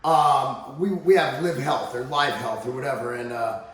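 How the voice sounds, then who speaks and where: An American man with a slightly hoarse voice talking casually and emphatically to viewers as a fitness vlog host. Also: mid-range voice, moderate pace, a bit bright. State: slightly hoarse, voice recovering from laryngitis. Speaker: fitness channel host and supplement executive. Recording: very echoey, reverberant room.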